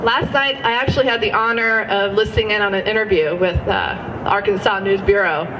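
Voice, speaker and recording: A woman in her thirties gives an earnest rally speech, her words tripping into fillers and quick self-corrections. She sounds set back from the microphone. The crowd is clearly audible around her. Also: medium pitch, dull audio. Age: 30s. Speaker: guest speaker at a rally. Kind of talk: public speech. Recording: distant mic with audience noise.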